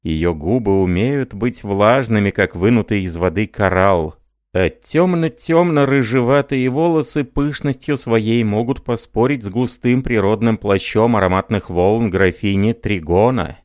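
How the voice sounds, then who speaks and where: A man narrating a novel in a measured, literary reading. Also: lowish voice, a little mellow, no echo, clean studio sound.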